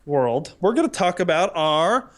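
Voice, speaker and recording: A man's voice: high voice, sing-song, measured pace, slight background noise. A man co-hosting a podcast in casual comedic banter, talking in a jokey, rambling way. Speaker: podcast co-host.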